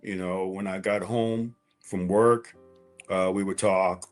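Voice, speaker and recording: A man's voice: deep voice, steady tone, slow, soft background music. A man in his forties speaking as an interview guest, candidly telling a personal story in a conversational way and repeating phrases for emphasis.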